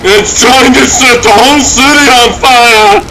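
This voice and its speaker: A man playing an excitable young news helicopter crewman in a comic dub. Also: very high-pitched, measured pace, rough recording. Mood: alarmed, excited. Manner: exclamatory, alarmed.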